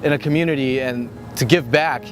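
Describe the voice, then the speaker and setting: A man's voice: mid-range voice, a little fast, noisy background. A man speaking earnestly and reflectively, giving a personal testimonial as a police officer and veteran. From born in Vietnam, grew up in Chicago, United States. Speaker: police SWAT officer and army veteran telling his story. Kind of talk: personal testimonial over a promotional film. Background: music.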